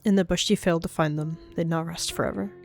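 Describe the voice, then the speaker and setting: A woman's voice: fairly low pitch, fairly even intonation, quick pace, a little mellow, soft background music. A woman telling a dramatic story as a third-person narrator.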